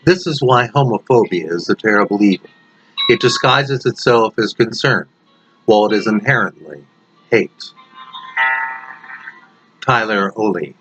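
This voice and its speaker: A man reading his own story aloud as a dramatic narration, with dark humour and wry, deliberate phrasing. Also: on the low side, expressive, rough recording. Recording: loud music under the voice. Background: prominent background music.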